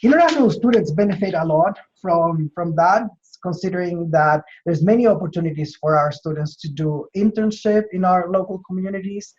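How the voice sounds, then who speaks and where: A man from Chile with a Chilean accent explains his work in an interview, in measured, hesitant phrases with repeated words. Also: high voice, darkish tone. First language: Spanish. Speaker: university administrator being interviewed. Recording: dull, lo-fi call audio.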